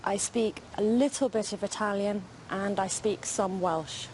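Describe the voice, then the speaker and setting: A woman answering interview questions in a slow, deliberate way. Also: medium pitch, darkish tone, slight background noise, low-quality recording.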